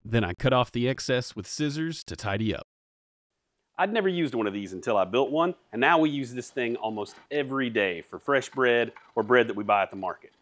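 A man's voice: mid-range voice, lively intonation, brisk, fairly bright tone, no room sound, studio quality. A man, hosting a woodworking channel in an upbeat, jokey how-to manner from his home workshop. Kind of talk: scripted workshop narration.

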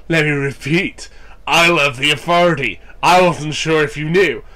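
A man's voice: fairly high pitch, moderate pace. A young man with a cold, sounding nasal, casually commenting on a wrestling game with jokes and swearing, reading lines aloud. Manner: joking, swearing, bursts into loud mock cheers. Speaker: gaming YouTuber.

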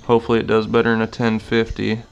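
Casual home-garage vlogger: a low, gravelly man, rambling and self-deprecating, faint background hiss. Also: monotone, quick pace, highs cut off. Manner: rambling, many fillers and restarts; laid-back, self-deprecating. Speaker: mini bike builder. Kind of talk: casual walkaround vlog. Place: home workshop room, indoors.

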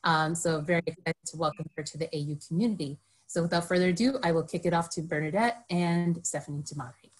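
A young woman with a somewhat low voice opens an online author event, pausing often with "um" as she goes through notes for the audience. She speaks over a video call with a friendly, unhurried manner. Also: poor audio. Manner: hesitant, lots of ums, friendly. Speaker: program coordinator introducing the event. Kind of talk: event introduction.

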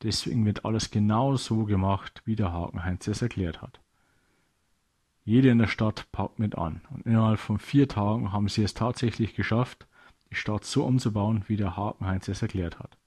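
A man's voice: on the low side, normal speed, darkish tone, husky. A man narrating a scripted children's audio story as a storyteller.

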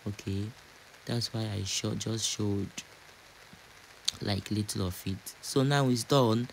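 Nigerian man narrating over clear background noise. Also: fairly low pitch, takes it slowly, rough recording. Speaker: home cooking vlogger. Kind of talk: casual cooking vlog narration.

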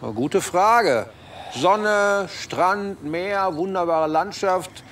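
A man's voice: sits high, very slow, a bit bright, far from the mic, slight background noise, full character voice. An actor playing a chatty, inquisitive male neighbour in a radio drama. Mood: curious, musing. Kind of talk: naturalistic radio drama acting.

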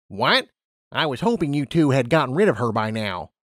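A weary female personnel director from a pony storybook, played by a man. The delivery is curt and reluctant, with an exasperated edge. Pitch is middling and varied, and the sound is dry. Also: sing-song, moderate pace, fairly bright tone, dry recording. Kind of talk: acted audiobook character.

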